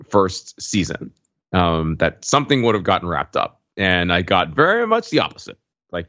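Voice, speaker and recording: Lower-voiced man, casual and measured. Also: fairly bright tone, no room sound, dull audio. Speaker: podcast panelist. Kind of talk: casual TV show discussion.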